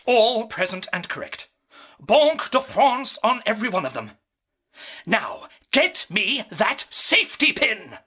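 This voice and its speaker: An actor playing a pompous, excitable, self-satisfied male opera manager in a radio drama, theatrical and wordy. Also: high voice, unhurried, a little hiss or hum, full character voice. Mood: excited, determined. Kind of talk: full-cast radio drama acting.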